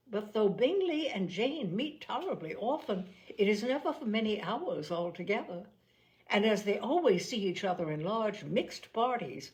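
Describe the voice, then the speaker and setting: Pragmatic young period gentlewoman played by a female narrator, opinionated, formal, lively intonation, for a storybook reading. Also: mid-range voice, close to an everyday voice, low-quality recording. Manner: opinionated, formal, measured phrasing. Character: pragmatic, worldly young Regency gentlewoman advising a friend.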